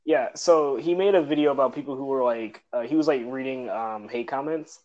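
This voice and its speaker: A man's voice: mid-range voice, a little fast, a little mellow. Male podcast co-host, casual and giggly, repeats words, muffled lo-fi sound. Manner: laughs often, repeats words when starting sentences. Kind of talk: casual chat. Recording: dull lo-fi audio.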